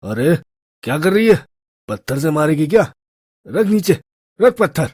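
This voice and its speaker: A woman voicing a drunken, lecherous male street thug with a husky, coarse, threatening way of talking, in an audio story. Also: low-pitched, very animated, unhurried, darkish tone, no room sound, full character voice.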